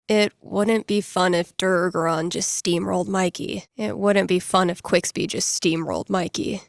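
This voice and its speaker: A woman's voice: fairly low pitch, no echo, clean studio sound. An actress playing a wry, slangy young woman who DJs and runs tabletop games, in a video game. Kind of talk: video game character inner monologue.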